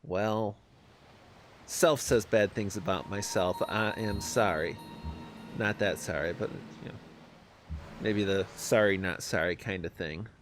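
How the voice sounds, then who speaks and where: A man's voice: on the low side, a little slow, pauses often, slight background noise. A man streaming a strategy game, chatting casually with viewers, hesitant and self-deprecating, with many fillers. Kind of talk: casual live-stream commentary. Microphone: desk microphone, no camera.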